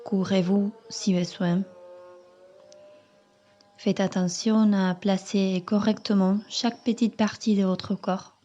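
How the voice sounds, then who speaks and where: A woman yoga teacher calmly leading a guided meditation in measured, instructing phrases. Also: medium pitch, muffled recording. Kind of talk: slow guided meditation narration.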